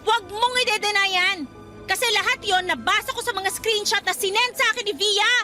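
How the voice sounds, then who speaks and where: A confrontational young office worker in a radio drama. Her voice is very high, loud and accusing, full of scorn. Music plays clearly behind her. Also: steady tone, a little fast. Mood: angry, scornful. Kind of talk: Tagalog radio drama acting.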